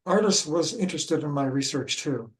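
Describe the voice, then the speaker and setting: An older man with a Midwestern American accent, a local historian giving a prepared talk in a measured, careful way. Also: on the high side, expressive. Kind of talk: reading a prepared lecture.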